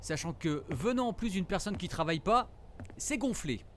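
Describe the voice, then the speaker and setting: An actor playing a teasing, affectionate forest ranger in a naturalistic audio drama, with casual, slangy delivery. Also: male voice, sits high, expressive, moderate pace, a little hiss or hum.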